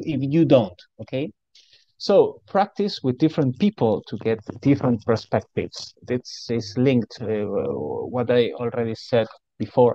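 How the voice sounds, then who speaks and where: A man with a strong Spanish accent gives a relaxed, chatty slide lesson, repeating himself and checking in with his listeners. His voice is mellow and dark. The sound is dry and a little dull. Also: medium pitch, dry recording. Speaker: pitch trainer. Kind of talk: voice-over for a slide tutorial.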